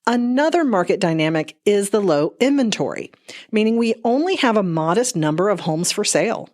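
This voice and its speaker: A woman's voice: medium pitch, lively intonation, moderate pace, darkish tone. A woman realtor delivering a scripted market report as a news segment.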